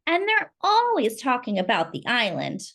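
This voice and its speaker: A woman's voice: fairly high pitch, wildly swooping pitch, normal speed, creaky, a bit bright, heavily acted. A woman voicing a young girl in a storybook read-aloud, earnest and full of wonder. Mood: eager. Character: young girl eager to remember her birthplace.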